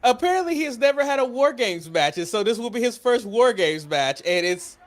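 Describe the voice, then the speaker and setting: A man's voice: very high-pitched, big pitch swings, fairly quick. An American man hosting a casual podcast chat, opinionated and emphatic, repeating phrases to drive his point home. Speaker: wrestling podcast host.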